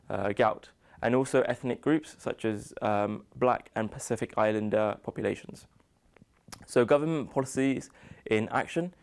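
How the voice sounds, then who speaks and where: A young man in his twenties with a British accent, giving a student presentation in a somewhat halting way. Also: medium pitch, smooth. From United Kingdom. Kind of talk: slide presentation on a medical topic.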